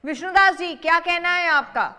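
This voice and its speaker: A woman's voice: extremely high pitch, moderate pace, pushed and bright. A woman moderating a live news debate in a formal, insistent manner. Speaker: TV news anchor hosting a debate.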